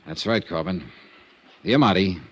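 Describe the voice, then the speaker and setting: An actor playing a brisk, skeptical American insurance investigator in a vintage radio detective drama, clipped and wry. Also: male voice, low-pitched, animated, highs cut off, background noise. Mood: probing, insistent. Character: hard-boiled male insurance investigator, brisk, skeptical, persistent. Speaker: investigator and first-person narrator.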